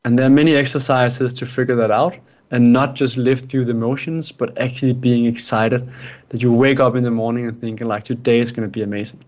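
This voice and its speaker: A man's voice: mid-range voice, flat delivery, a little fast, a little mellow. A man from Denmark, whose first language is Danish, answering interview questions about life coaching in an earnest, advice-giving way. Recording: dull lo-fi sound.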